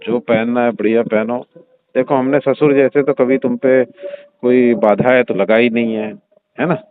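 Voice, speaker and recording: A man's voice: mid-range voice, a little hiss or hum, natural voice. A man playing an older, indulgent father-in-law who talks in a coaxing, suggestive, familiar way in a staged phone-call drama. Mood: reassuring, persuasive. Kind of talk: staged phone-call audio drama in everyday conversational speech. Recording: muffled narrow-band phone-line sound.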